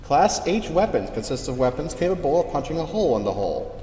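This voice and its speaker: Man playing a deadpan official in an echoey hall. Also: a little high, fairly quick. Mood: dry, official. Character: deadpan official reading out sci-fi weapons regulations. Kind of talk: scripted bureaucratic announcement. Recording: echoey reverberant hall, faint noise.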